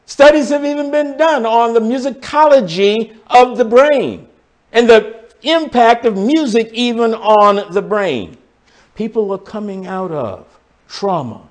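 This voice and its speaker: High-voiced man teaching scripture. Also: expressive, unhurried. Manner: explanatory, reads and comments on scripture verse by verse; addresses the congregation directly. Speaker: pastor teaching a Bible study. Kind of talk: sermon-style Bible teaching.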